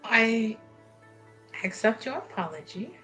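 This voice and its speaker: Woman playing guarded female adventurer, tabletop game, secretive, clipped, unhurried, expressive pitch. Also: soft background music. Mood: firm. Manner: clipped, deliberate, short phrases. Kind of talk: improvised tabletop roleplay.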